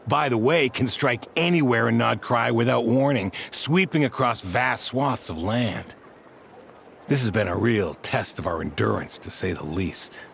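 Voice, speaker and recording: Weary, gravelly sergeant major. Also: male voice, mid-range voice, slight background noise. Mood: weary, resigned. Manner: formal, measured, world-weary. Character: weary, dutiful military sergeant major, overstretched and resigned. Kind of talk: anime game voice acting.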